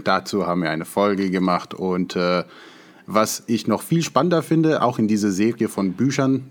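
Man, lowish voice, native English speaker talking German, easygoing podcast co-host. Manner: easygoing, with short affirming replies. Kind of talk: casual podcast chat.

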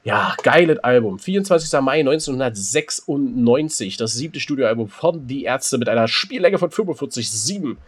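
A man's voice: medium pitch, expressive, moderate pace, hardly any pauses, breathy edge. A man co-hosting a casual podcast, joking around and breaking into sung snippets. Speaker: podcast co-host. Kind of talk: casual chat.

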